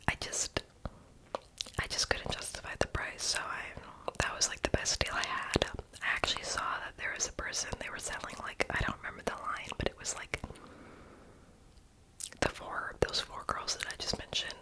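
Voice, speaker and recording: A young adult whispering a casual, rambling ASMR voiceover, breathy and unvoiced, with soft mouth sounds. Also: poor audio. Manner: casual, hesitant rambling with many likes. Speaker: ASMR video creator.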